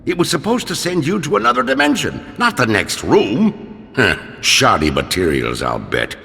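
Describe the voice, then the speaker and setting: An actor playing a villainous undead zombie pirate in a video game, gravelly and theatrical, with gloating, drawn-out menace. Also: male voice, mid-range voice, wildly swooping pitch, pushed and bright, slight background noise, exaggerated voice. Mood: puzzled, grumbling. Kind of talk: video game character acting. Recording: long cavernous reverb.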